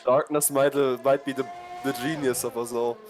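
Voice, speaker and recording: A man's voice: medium pitch, fairly even intonation. A man from northern Bavaria with a German accent, commentating casually on a sim race with hesitant fillers. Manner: hesitant fillers, quick to laugh.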